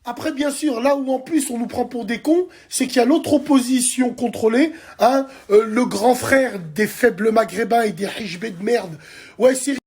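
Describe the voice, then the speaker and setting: A man in his forties from France, ranting to camera from a dark bedroom at night on his phone. He is heated and crude, hurls insults and speaks straight to his viewers. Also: extremely high pitch, moderate pace, breathy edge. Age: 40s. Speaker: social media commentator. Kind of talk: angry political rant to camera. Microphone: phone held at arm's length.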